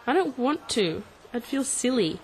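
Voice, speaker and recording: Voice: medium pitch, big pitch swings, measured pace, soft background music, rough recording. An actor playing a practical, encouraging teenage boy in a radio drama, giving short, matter-of-fact, prodding replies. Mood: reluctant, practical. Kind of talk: radio drama acting for English learners.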